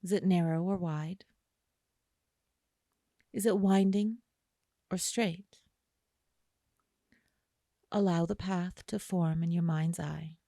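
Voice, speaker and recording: Low, mellow woman guiding meditation. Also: steady tone, dry recording, studio quality. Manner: slow, soothing delivery with long pauses. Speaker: meditation guide. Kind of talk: guided imagery meditation narration.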